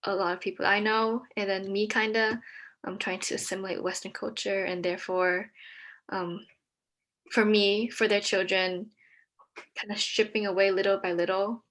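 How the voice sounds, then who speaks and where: A woman's voice: medium pitch, level tone, normal speed. Hesitant young woman guest. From Texas, US. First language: Vietnamese. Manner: hesitant, many ums, soft-spoken. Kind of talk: casual conversation.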